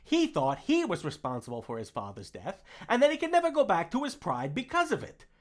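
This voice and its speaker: A man voicing a fast-talking, wisecracking meerkat sidekick with a nasal, brash voice, giving streetwise comfort in an audio roleplay. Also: high-pitched, wildly swooping pitch. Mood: storytelling. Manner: wry, pep-talking, streetwise. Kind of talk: comforting character roleplay with impressions.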